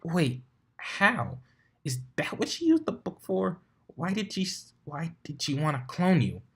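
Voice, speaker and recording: A man's voice: on the high side, sing-song, a little slow, fairly bright tone, breathy edge, voice pushed up, exaggerated voice. A man voicing a young, bookish female unicorn who sounds inquisitive and flustered in a cartoon fan story. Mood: alarmed, confused.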